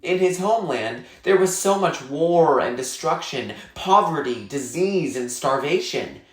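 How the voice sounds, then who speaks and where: A man narrating a children's novel aloud in an expressive, measured storytelling style with clear phrasing. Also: on the high side, roomy sound, low-quality recording.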